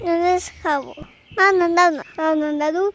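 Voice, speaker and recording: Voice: very high-pitched, steady tone, normal speed, fairly bright tone. A performer voicing a cheeky little granddaughter in a cartoon, coaxing and pleading. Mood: wheedling. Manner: coaxing, sing-song pleading. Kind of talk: cartoon voice acting.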